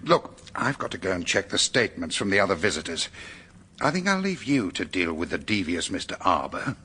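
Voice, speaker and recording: An actor playing a brisk, courteous British police superintendent in a radio drama, formal and businesslike in his questioning. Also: low-pitched, sing-song, bright, forceful tone, husky, full character voice.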